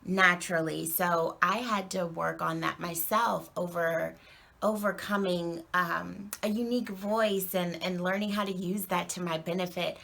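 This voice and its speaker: A woman with a naturally soft voice talks slowly and earnestly about her coaching work, pausing on frequent ums. Her pitch sits on the low side and her voice drags into a very strong gravelly fry. It sounds like a recorded statement. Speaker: acting and presentation coach.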